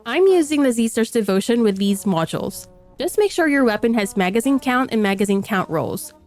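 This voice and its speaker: A woman's voice: a little high, expressive, moderate pace, darkish tone, faint music behind. A woman with a stuffy voice from a cold, explaining a game build in a matter-of-fact voice-over. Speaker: game guide creator.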